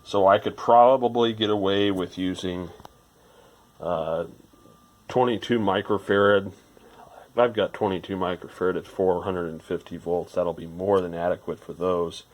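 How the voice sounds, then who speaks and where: A man's voice: sits low, steady tone, normal speed. A man giving informal hands-on repair narration, rambling a little as he thinks aloud. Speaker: electronics repair hobbyist presenting a restoration.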